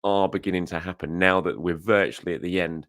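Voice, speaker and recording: A hoarse young man hosts a football news show, with a deep voice that sounds croaky. He talks through the news in a loose, chatty way, with plenty of fillers and restarts. The sound is close and dry, with no room behind it. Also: fairly even intonation, fairly quick, fairly bright tone, no echo. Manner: chatty, with frequent fillers and self-corrections. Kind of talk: casual solo commentary.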